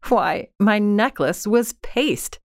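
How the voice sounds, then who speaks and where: A woman's voice: mid-range voice, animated, slow pace, no echo, voice pushed up. Storybook role: well-bred society lady, polite and reserved with emphatic phrasing female reader performing, dry sound. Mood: shocked, pitying. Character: genteel, well-off lady, reserved yet sympathetic.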